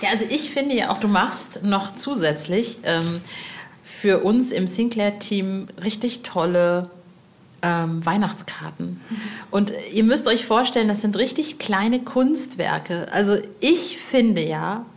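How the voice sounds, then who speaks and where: A woman's voice: medium pitch, lively intonation, slow. A woman hosting a casual podcast interview in a playful, effusive and colloquial way. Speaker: podcast host.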